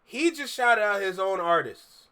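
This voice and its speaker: Man from the Toronto area, high voice, bright sharp tone, quick animated reaction-video commentary. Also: clean tone. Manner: quick, animated, talks straight to viewers. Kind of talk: casual commentary on a clip.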